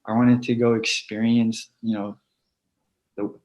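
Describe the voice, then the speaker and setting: A young man who grew up in Hawaii, talking casually in an online interview about his football plans, soft-spoken with many fillers. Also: fairly low pitch, flat delivery, a little slow. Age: young adult. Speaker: football player guest. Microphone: video call. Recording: dull lo-fi video call audio.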